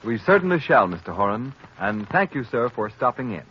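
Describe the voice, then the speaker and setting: A man's voice: mid-range voice, very animated, a little fast. A man with an American accent announcing formally in 1930s radio style. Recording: muffled, noisy 1930s radio recording.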